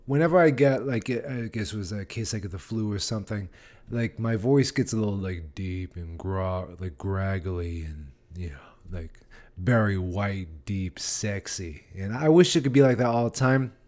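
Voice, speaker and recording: A man's voice: mid-range voice, darkish tone. An American man hosting a podcast solo, chatting in an informal, rambling and self-deprecating way. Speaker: podcast host and stand-up comedian. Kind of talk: casual solo podcast chat.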